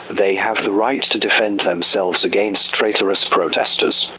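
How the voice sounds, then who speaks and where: Man acting cold, bright-voiced regime announcer, muffled broadcast. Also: fairly low pitch. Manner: cold, menacing, formal. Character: ruthless authoritarian British regime announcer threatening citizens. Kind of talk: scripted emergency broadcast announcement. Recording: dull, noisy radio-style broadcast with hum.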